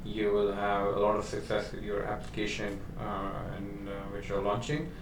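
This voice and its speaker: A man's voice: fairly low pitch, monotone, normal speed. A man hosting a business podcast, talking casually, with many fillers and restarts. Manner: conversational, lots of fillers and restarts. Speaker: podcast host. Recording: roomy echo, distant mic, faint noise.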